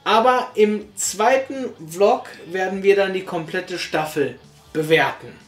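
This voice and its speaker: A man's voice: sits high, takes it slowly. A young man reviewing an audio drama, speaking casually from a sofa in a small living room, rambling with many fillers and restarts, picked up by a distant camera. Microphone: far camera. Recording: roomy room sound, faint music.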